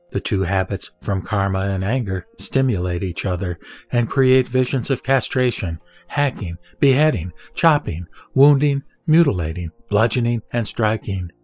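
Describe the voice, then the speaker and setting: A man's voice: mid-range voice, no echo. Man reciting scripture over music, dry sound. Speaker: sutra reciter. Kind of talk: solemn scripted scripture narration. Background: background music.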